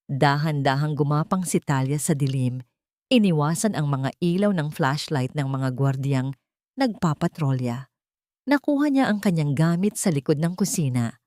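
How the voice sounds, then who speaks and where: A woman's voice: sits low, darkish tone, dry recording, clean studio sound. A woman with a Filipino accent reading a dramatic story as an audiobook narrator.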